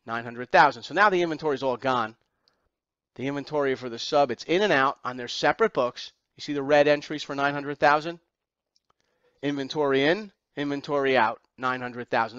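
A man's voice: medium pitch, fairly bright tone, no echo, highs cut off. A man teaching an accounting exam class, lecturing through slides step by step with emphatic repetition and rhetorical questions.

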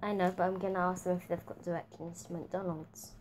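A woman's voice: lowish voice, level tone, fairly quick, a little mellow. A woman talking casually and making prank phone calls, with noise in the recording. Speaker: prank caller. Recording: noisy home phone-call recording.

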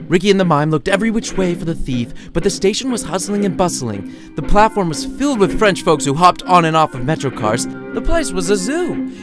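A man narrates a short story in a slightly high voice with animated, swooping intonation. His delivery is dramatic and playful, and music plays clearly behind him. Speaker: author reading his own short story. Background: music under the voice.

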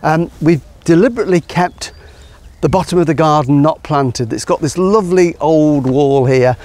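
A middle-aged man giving a relaxed, enthusiastic guided tour of his garden outdoors, explaining as he walks. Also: fairly high pitch, normal speed. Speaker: gardener and show host.

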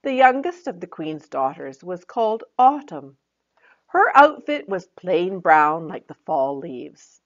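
Woman in her sixties, expressive storyteller, slow-paced, highly varied pitch, children's story reading, slightly muffled recording. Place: small plain room indoors.

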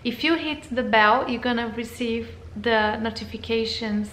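A woman's voice: on the high side, normal speed, fairly bright tone, echoey room, a little hiss or hum. A woman in her thirties from Brazil, speaking English with a Brazilian Portuguese accent in a hesitant, casual intro to camera, with fillers and restarts. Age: 30s. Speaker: vlogger.

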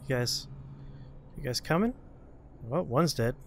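A man's voice: medium pitch, lively intonation, measured pace, a little hiss or hum. A man giving casual gameplay commentary as a show host, with a wry manner, muttering short remarks to himself. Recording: game sound effects behind voice. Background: video game effects, shattering sounds.

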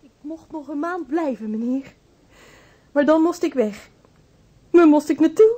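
An actress playing a distraught, timid and deferential housekeeper in a Dutch radio drama, speaking haltingly. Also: female voice, high voice, expressive, warm tone. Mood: pleading.

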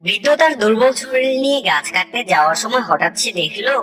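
Female storyteller for cartoon tales, fairly high, bright delivery over light music. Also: lively intonation, fast talker, far from the mic. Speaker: storyteller narrator. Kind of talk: scripted cartoon story narration.

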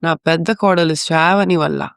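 A woman's voice: low voice, steady tone, normal speed, vocal fry, clean tone, no echo, studio quality. A woman playing an elderly mother-in-law in a family story, caring but chiding.

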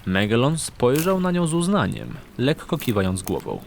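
A man's voice: mid-range voice, wildly swooping pitch, moderate pace, gravelly, slight background noise. A man narrating a fantasy audio drama in a scripted, dramatic storytelling style.

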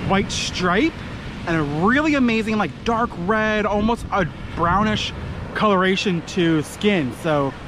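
A man's voice: high voice, unhurried, noisy background. A young man filming a fishing vlog on a sunny beach with a chest-mounted camera, talking upbeat and eager to his viewers. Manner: upbeat, keeps saying he is super excited. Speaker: fishing vlogger. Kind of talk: casual vlog narration to camera. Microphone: chest-mounted action camera.

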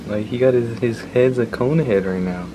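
A man's voice: mid-range voice, normal speed, warm tone. A man in his twenties chatting casually to camera as a vlogger, blunt and slangy, with some swearing. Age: 20s. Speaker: vlogger and new father. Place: small hospital room. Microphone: handheld vlog camera at arm's length. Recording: clearly noisy room ambience.

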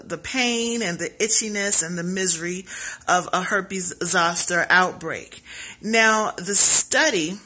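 A woman's voice: medium pitch, sharp tone, rough recording. A woman in her thirties hosting a health show in a casual selfie video, chatty and self-deprecating, spelling out terms letter by letter. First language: English. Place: small indoor room at home. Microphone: phone camera at arm's length.

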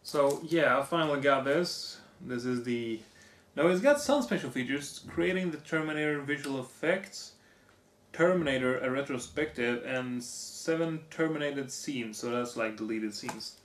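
A hoarse young man on a living room couch, casually reading off movie box features. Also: medium pitch, expressive, a little slow, fairly bright tone. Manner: reads off lists, offhand comments. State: hoarse, losing his voice. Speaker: vlogger. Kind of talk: casual unboxing chat. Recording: echoey room.

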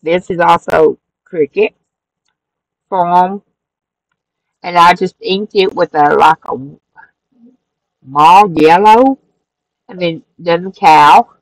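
Lady flipping through her craft journal, slow easy talk, kinda low voice. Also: dry recording, low-quality recording. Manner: relaxed, rambling, with fillers and self-corrections. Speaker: crafting channel host. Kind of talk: casual craft flip-through narration. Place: craft room at a table.

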